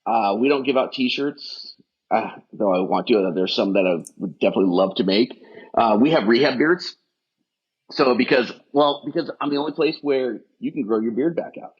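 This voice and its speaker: Husky man, rapid rambling talk. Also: mid-range voice. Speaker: guest, firefighter rehab specialist. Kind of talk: casual podcast conversation. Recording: dull lo-fi audio.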